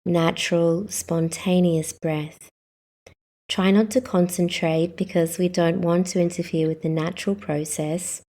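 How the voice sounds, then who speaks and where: A woman guiding a yoga nidra meditation as the instructor, speaking calmly in short, measured instructions. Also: fairly low pitch. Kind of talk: guided meditation.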